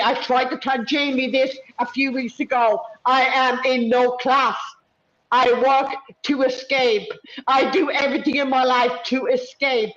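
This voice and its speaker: A woman's voice: high voice, a bit bright. A distraught woman venting without a script, swearing heavily in an impassioned way. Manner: heavy swearing, raised impassioned delivery. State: distraught, upset. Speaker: livestream guest and chat moderator. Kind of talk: emotional unscripted venting. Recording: echoey, dull lo-fi online audio.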